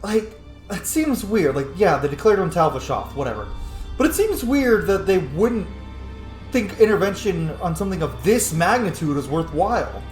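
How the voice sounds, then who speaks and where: Female let's-play host: low pitch, very animated delivery, informal and jokey, roomy sound. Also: normal speed. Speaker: gaming video host. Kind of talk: casual playthrough commentary. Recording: echoey room with faint music. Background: faint game music.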